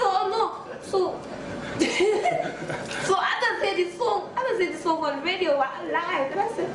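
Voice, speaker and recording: A woman from Trinidad with a Trinidadian accent, telling a story as a guest in a casual interview, laughing often and swearing. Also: extremely high pitch. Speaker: guest musician. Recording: echoey room with background noise.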